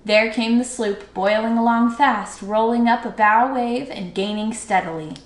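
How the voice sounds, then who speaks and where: A woman reading a children's book aloud expressively, with dramatic, drawn-out emphasis. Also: on the high side. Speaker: storybook read-aloud teacher. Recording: echoey room.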